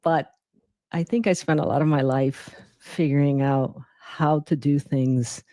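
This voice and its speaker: A middle-aged woman from Guyana who grew up in New York, telling her personal story reflectively as an interview guest, with thoughtful, deliberate phrasing. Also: low-pitched, steady tone, warm tone, no room sound. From born in Guyana, raised in the Bronx from age seven. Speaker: interview guest, emergency physician.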